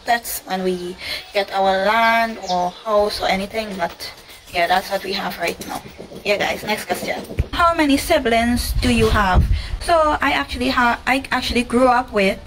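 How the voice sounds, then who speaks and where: A young woman with a Trinidadian accent, answering viewer questions in a casual, chatty vlog and repeating herself often. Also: on the high side. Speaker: vlogger. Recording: noisy home recording.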